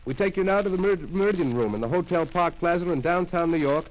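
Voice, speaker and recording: A man reading a weather report and station links in a 1930s radio drama, flatly and routinely. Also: high-pitched, fast talker, close to an everyday voice, poor audio. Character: plain male weather-report announcer. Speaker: radio announcer.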